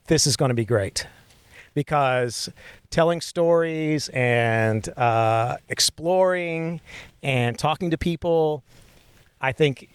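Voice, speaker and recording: A man's voice: mid-range voice, smooth, no echo. Encouraging male podcast interviewer, dry sound. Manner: encouraging, warm affirmations. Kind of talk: friendly interview.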